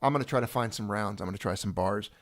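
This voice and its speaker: A man's voice: on the low side, fast talker. A middle-aged man hosting a casual home chat, rambling and joking. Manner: chatty, rambling, jokey. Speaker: channel host.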